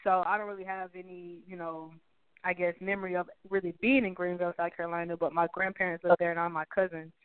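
A young woman in her twenties chats as a guest, upbeat and full of "you know"s. Her voice is smooth and clear but comes over a thin, tinny phone line. The sound is dry, with no room around it. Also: lowish voice, little pitch movement, fast, no echo. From Texas. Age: 20s. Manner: upbeat, many fillers and repeats. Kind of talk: casual interview chat.

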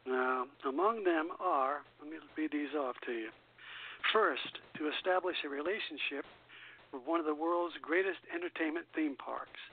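An older man presenting a nostalgia radio show, moving between reading out prepared notes and chatting. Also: fairly high pitch. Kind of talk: scripted narration and chat on a nostalgia radio show. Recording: tinny phone-like line.

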